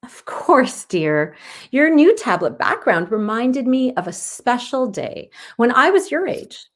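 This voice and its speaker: A woman reading a children's storybook as an affectionate, gentle grandmother, tender and unhurried. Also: on the high side, sing-song, voice pushed up, exaggerated voice.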